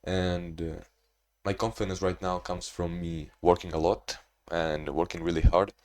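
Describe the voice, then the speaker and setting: A young man talking casually in a video diary, rambling and restarting, in a monotone way. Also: low-pitched, measured pace. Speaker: vlogger.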